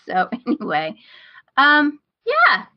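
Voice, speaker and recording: A woman's voice: high-pitched, wildly swooping pitch, takes it slowly, no room sound. Woman podcast host, slightly dull audio. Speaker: podcast host giving recommendations. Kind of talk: casual podcast chat.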